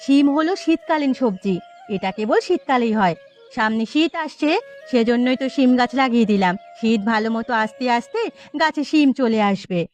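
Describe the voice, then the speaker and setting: A woman voicing a brisk, caring village mother with a chiding manner in a cartoon. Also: a little high, animated, close to an everyday voice. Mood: explaining. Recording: music under the voice.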